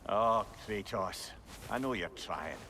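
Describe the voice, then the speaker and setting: A man's voice: lowish voice, animated, slow pace, noisy background. An actor playing a talkative, wise severed head who advises in a wry, fatherly way in a video game.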